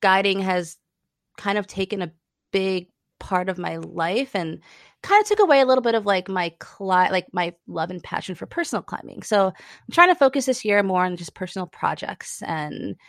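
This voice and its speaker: A woman's voice: mid-range voice, animated, moderate pace, dry recording. Young woman, climbing guide, chatty, laughs a lot, dry close sound. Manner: chatty, laughs often, lots of "like". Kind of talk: casual interview chat.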